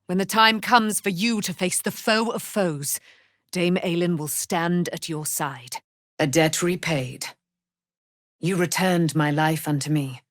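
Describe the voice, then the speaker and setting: A slow, grand immortal warrior woman. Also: fairly low pitch, a bit bright, no echo, clean studio sound. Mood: solemn, regal. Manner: grand, solemn, formal archaic phrasing. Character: proud immortal warrior woman, noble holy daughter of a moon goddess. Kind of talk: theatrical fantasy game voice acting.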